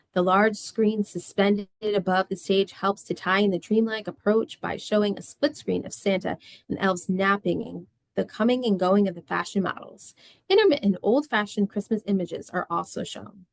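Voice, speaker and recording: A woman's voice: medium pitch, no room sound. A woman reading scripted stage directions as narrator of a screenplay table read.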